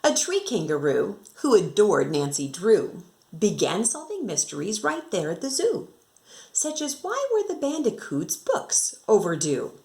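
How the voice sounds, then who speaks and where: A woman's voice: medium pitch, huge pitch swings, a little slow, creaky, darkish tone. An American woman working as an elementary teacher, reading a picture book to children expressively and rhythmically, with casual explanatory asides. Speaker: second grade teacher reading aloud to pupils.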